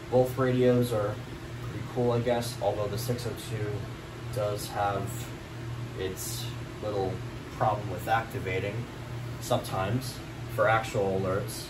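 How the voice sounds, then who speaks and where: A man giving a casual, meandering show-and-tell of a collection, filmed on a handheld camera in a small bedroom. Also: fairly low pitch. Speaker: hobbyist collector showing a collection. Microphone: handheld camera, behind the lens. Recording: distant mic, loud room hum and noise.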